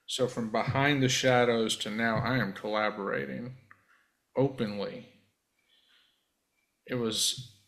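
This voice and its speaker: A male podcast host with a gravelly, fry-laden voice at an ordinary pitch. He affirms his guest often and asks long, winding questions. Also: fairly bright tone. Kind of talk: interview host.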